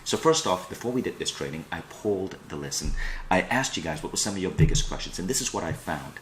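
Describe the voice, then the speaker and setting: A man's voice: low-pitched, expressive, fast, fairly bright tone, a little hiss or hum, low-quality recording. A middle-aged man from Malaysia presenting an online self-improvement training as a coach, with an emphatic, promotional delivery. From Kuala Lumpur, Malaysia. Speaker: personal growth coach and trainer.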